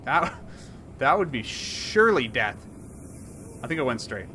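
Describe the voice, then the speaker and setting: A man in his thirties commenting on a horror game he plays, rambling and jokey, swinging from mumbles to yells. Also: mid-range voice, very animated, a little slow, a little hiss or hum. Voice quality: hoarse at times. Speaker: gaming YouTuber. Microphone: headset.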